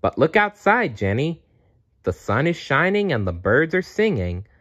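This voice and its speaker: A man's voice: on the high side, big pitch swings, a little slow, a bit bright, no room sound, voice pushed up, full character voice. A man voicing a merry, encouraging male bear from a children's storybook, on a dull recording. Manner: cheerful, encouraging.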